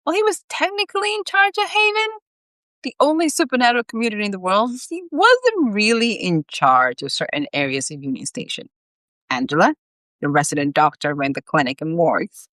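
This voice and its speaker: Woman, live story reading, very varied intonation, lower pitch, informal, animated. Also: normal speed, no room sound. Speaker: author reading own story.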